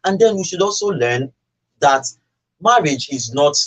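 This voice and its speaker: A man's voice: a little high, animated, measured pace, a bit bright, rough recording. Nigerian man giving earnest advice on radio. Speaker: studio guest giving advice. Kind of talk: conversational radio discussion.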